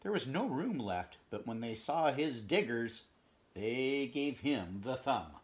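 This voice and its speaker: Middle-aged man reading a picture book aloud. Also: mid-range voice. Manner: expressive, pausing to chat and point at pictures, drops to whispers. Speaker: read-aloud storyteller. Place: home room, indoors.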